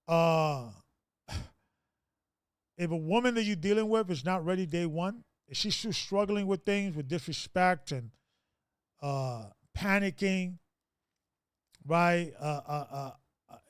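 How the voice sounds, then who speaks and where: A man's voice: sits high, takes it slowly, long pauses, smooth, no echo, studio quality. A man in his fifties hosting a casual group discussion, preachy and rambling, with many restarts. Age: 50s.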